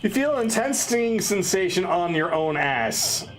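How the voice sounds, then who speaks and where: A man's voice: high voice, big pitch swings, moderate pace, faint music behind. A man running a tabletop roleplaying game, narrating results to his players in a casual way. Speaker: game master.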